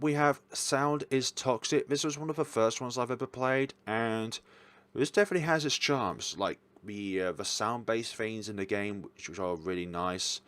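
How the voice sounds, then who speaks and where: A man's voice: sits low, fairly bright tone. A man hosting a casual, chatty ranking of games, rambling and correcting himself as he goes, with an enthusiastic, hesitant manner. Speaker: host reviewing visual novels.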